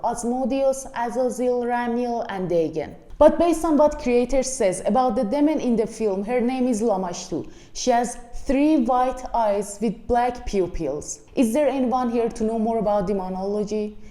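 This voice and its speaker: A woman's voice: a little high, dark tone. A woman from Iran with an Iranian accent, giving a frank, blunt movie review in casual speech and speaking to viewers as friends. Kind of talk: casual spoken film review. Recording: echoey room.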